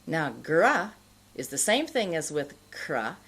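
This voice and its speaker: A middle-aged woman speaks as a pronunciation teacher in a low-pitched voice. She takes her time, enunciating each sound with care, and her intonation moves in a lively way as she instructs. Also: husky. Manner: deliberate, careful enunciation with demonstrated sounds. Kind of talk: instructional lesson to camera.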